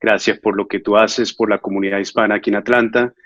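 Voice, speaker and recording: Low, flat-voiced man telling his story. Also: dry recording, highs cut off. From Venezuela. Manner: earnest, measured storytelling with frequent "eh" fillers. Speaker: immigration lawyer. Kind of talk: interview answers.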